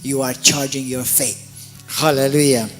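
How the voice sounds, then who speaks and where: A man's voice: medium pitch, unhurried, soft background music. A man preaching a sermon as pastor, telling stories with energy, repeating and restarting words, and speaking straight to the congregation. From Malta. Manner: animated storyteller, repeats and restarts words, addresses the congregation directly.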